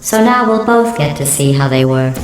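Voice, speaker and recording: A woman voicing a sardonic female artificial intelligence in a video game, deadpan and falsely polite, with a synthetic robotic sound. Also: a bass voice, moderate pace. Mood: deadpan mockery. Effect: synthetic robotic voice processing. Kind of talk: scripted video game voice acting. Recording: echoey game audio, clearly noisy background.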